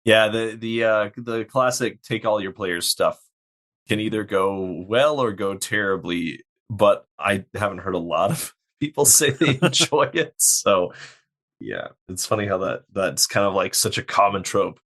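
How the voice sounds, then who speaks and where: Low-voiced man hosting a podcast. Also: a little slow, a bit bright. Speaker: podcast host. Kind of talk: casual interview.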